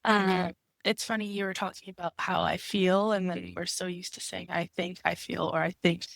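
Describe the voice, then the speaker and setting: A woman in her twenties, raspy from a cold, thinking aloud in a casual conversation. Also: fairly low pitch, little pitch movement, moderate pace, no echo. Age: 20s.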